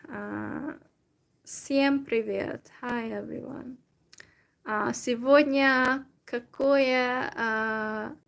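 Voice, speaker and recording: A young woman from Central Europe chatting casually to her viewers in a halting way, with many fillers and restarts. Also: fairly high pitch, gravelly, fairly bright tone. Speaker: vlogger. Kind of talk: casual solo chat to viewers.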